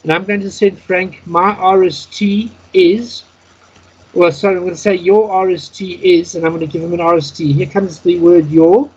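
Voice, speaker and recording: A man's voice: high voice, steady tone, normal speed, a little mellow. Lo-fi, noisy call, man instructing. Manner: instructional, announcing each item word by word. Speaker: Morse code practice instructor. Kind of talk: step-by-step spoken instruction. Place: Skype call. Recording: dull lo-fi internet call, clear noise.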